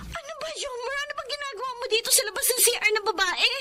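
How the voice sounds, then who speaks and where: An actress with a Filipino accent playing a feisty young college student and daughter, expressive and melodramatic, in a radio drama. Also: female voice, very high voice, quick pace, heavily acted. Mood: alarmed, indignant.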